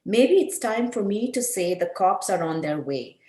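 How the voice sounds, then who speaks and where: A woman's voice: medium pitch, animated, moderate pace, darkish tone, natural voice. A woman playing a haughty, indignant, defiant wife under suspicion in an amateur script table read, recorded in an echoey room. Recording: echoey room on video call.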